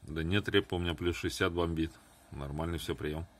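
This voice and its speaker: A man's voice: low voice, steady tone, brisk, fairly bright tone. A man chatting casually as an amateur radio operator, heard over a two-way radio transmission. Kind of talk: casual ham radio chat. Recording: noisy, humming FM radio transmission.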